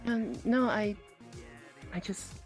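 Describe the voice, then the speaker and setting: A woman voicing a gentle, wary, inquisitive character in improvised online roleplay, often hesitating and breaking off her questions. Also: mid-range voice, slow, soft background music, close to an everyday voice. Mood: uneasy.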